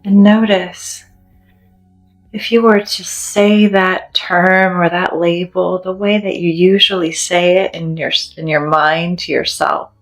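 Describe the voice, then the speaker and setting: Slow low-voiced woman guiding meditation. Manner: gentle, soothing, deliberate with long pauses. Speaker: meditation guide. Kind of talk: guided meditation. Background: soft background music.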